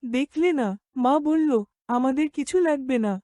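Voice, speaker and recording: A woman's voice: sits high, moderate pace, warm tone, dry recording, studio quality, lightly acted. A woman voicing a kind, simple young goatherd boy in a cartoon, speaking earnestly. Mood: content. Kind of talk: cartoon dialogue.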